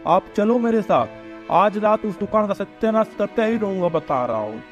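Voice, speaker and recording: A man's voice: high-pitched, animated, moderate pace, muffled recording, faint music behind. A man playing a boastful, vengeful village schemer in a comic Hindi cartoon. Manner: boastful, plotting.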